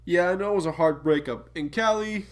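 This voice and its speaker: A woman's voice: on the low side, brisk, close to an everyday voice. A woman voicing a cheerful, dim-witted, good-natured cartoon boy with a goofy, simple way of talking. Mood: gentle. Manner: goofy, simple, folksy exclamations.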